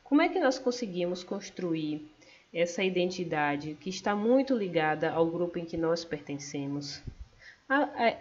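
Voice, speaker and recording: A Brazilian woman student giving a class presentation over slides, explaining step by step with some hesitant fillers. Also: lowish voice. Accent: Brazilian Portuguese. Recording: dull lo-fi recording.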